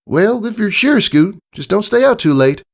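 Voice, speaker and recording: A bossy little filly, played by a man in a storybook reading, lifted very high into a girlish register. The manner is cagey and coaxing, with lively jumps in pitch. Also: moderate pace, vocal fry, heavily acted. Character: evasive, slightly bossy young girl pony. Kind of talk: audiobook character voice.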